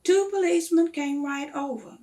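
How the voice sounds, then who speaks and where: A woman reading a storybook aloud to children in a deliberate, expressive manner. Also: sits high. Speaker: read-aloud guest reader.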